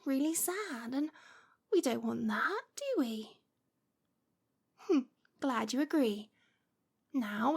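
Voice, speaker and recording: Possessive lovesick witch, played by a woman, ASMR audio drama. High, slow delivery, coaxing and sweet with a controlling edge. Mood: sweetly menacing. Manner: breathy whispers mixed with giggles, sighs and cooing.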